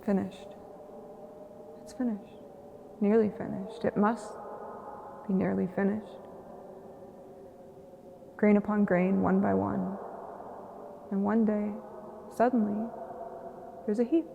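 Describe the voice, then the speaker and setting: A woman playing an anxious, self-doubting performer in an audio drama, with hesitant, deliberate theatrical phrasing. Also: mid-range voice, fairly even intonation, gravelly, phone-quality sound, noisy background. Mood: solemn, measured.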